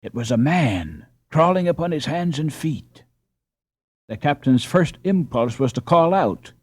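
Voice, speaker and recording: Expressive male storyteller, dry close sound. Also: mid-range voice, husky, no room sound. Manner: suspenseful, expressive. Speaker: audiobook narrator. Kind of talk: scripted dramatic storytelling.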